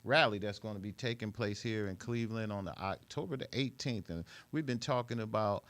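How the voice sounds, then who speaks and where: A man's voice: lowish voice, a little fast, fairly bright tone. A man hosting a live community radio talk show, who often repeats his phrases for emphasis. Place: radio studio.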